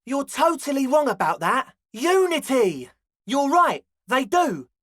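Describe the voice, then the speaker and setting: Game role: earnest twenty-year-old club kid, eager and a bit grandiose, very animated delivery, close dry sound. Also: male voice, extremely high pitch, a little slow, no echo, full character voice. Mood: defensive. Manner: slacker slang mixed with grandiose phrasing. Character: balding twenty-year-old raver dreamer, eager, earnest, slightly pompous. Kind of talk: video game character dialogue.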